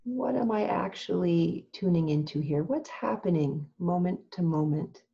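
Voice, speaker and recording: A woman teaching meditation in a calm, gentle, measured way, leaving long pauses as she gives instructions. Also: on the low side, vocal fry, dull audio.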